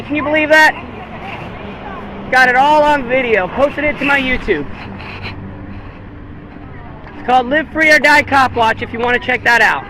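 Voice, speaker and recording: American man, very high voice, declamatory street protester, outdoor traffic noise. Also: bright, forceful tone, rough recording. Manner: declamatory, repeating slogans. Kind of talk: street protest slogans and chat with passersby. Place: outdoors by a busy road.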